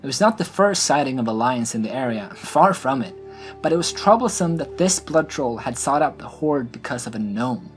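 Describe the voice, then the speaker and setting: Measured fantasy story narrator over music. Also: mid-range voice, lively intonation.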